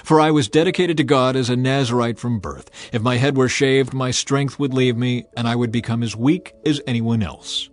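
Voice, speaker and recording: A man's voice: medium pitch, moderate pace, hardly any pauses, close to an everyday voice. A man voicing an earnest, solemn ancient hero in a dramatized scripture reading, with declamatory delivery. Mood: confessing.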